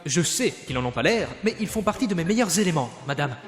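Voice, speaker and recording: A man's voice: a little high, expressive, fast, lightly acted. A man playing a dutiful, deferential team supervisor in an audio drama, speaking politely. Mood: deferential, uneasy. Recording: echoey long reverb, music underneath.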